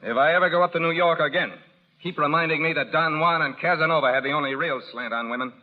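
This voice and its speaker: An actor playing a proud, cynical, tough-talking rancher in 1930s radio drama style. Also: male voice, a little high, quick pace, close to an everyday voice. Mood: bitter, wry. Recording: dull, noisy 1930s radio recording.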